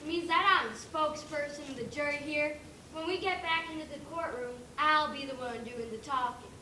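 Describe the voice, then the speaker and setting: A woman's voice: sits high, a little fast, bright, forceful tone. A young woman playing a sensible, authoritative pig foreman in a stage comedy, explaining things in an orderly way. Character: sensible, take-charge pig foreman of a jury. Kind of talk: amateur school stage comedy. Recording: distant, echoey, noisy stage recording.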